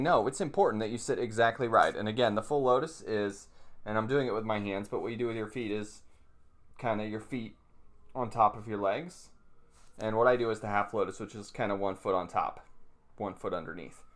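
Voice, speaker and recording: A man giving informal spoken instruction as a video host, plain-spoken and halting, repeating phrases as he explains and reads passages aloud. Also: on the low side. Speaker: meditation teacher, video host. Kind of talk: informal teaching commentary with read-aloud passages.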